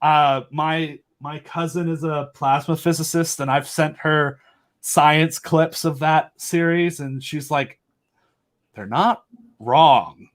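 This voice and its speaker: A man with a fairly high voice speaks as a guest on a podcast. His delivery is casual and enthusiastic, animated with jokes and dry asides. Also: takes it slowly. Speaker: podcast guest, audio drama creator.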